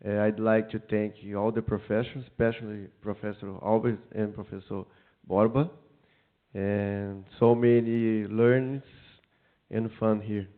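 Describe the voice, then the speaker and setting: A man's voice: fairly low pitch, little pitch movement, measured pace. A man with a Brazilian accent, a native Portuguese speaker, giving a short thank-you speech as a senior medical resident. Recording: narrow tinny phone-like sound.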